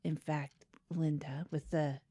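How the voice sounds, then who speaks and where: A woman playing an awkward, spooked male host on an improvised comedy podcast, hesitant and deadpan. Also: sits low, slow pace, slightly rough, heavily acted. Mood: uneasy, deadpan.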